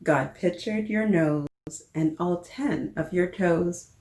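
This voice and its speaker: A woman hosting a children's story time, reading a picture book aloud in a playful, expressive way and talking directly to her young listeners. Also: fairly low pitch, slow pace. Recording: roomy, echoing sound.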